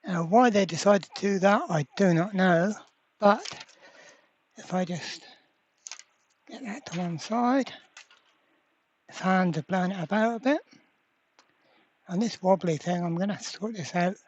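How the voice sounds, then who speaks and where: Slow-talking repair host, dull lo-fi sound. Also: mid-range voice, a little hiss or hum. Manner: unhurried, halting, thinking aloud while working. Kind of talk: casual hands-on repair demonstration. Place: indoor workbench.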